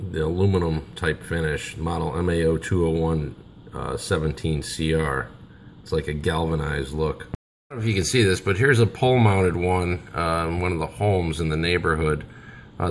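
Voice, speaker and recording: A man's voice: low voice, slight background noise. A man in his thirties giving a casual, practical product review with many fillers and restarts, filming close-ups at home. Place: home, over a glass patio table, then outdoors in a backyard.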